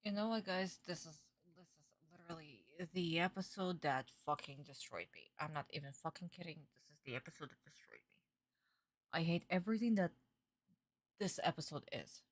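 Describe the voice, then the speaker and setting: Young woman streamer, sarcastic, exasperated, lower voice, dry close sound. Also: very animated, moderate pace, no echo. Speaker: streamer reacting to a cartoon. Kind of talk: live-stream reaction chat.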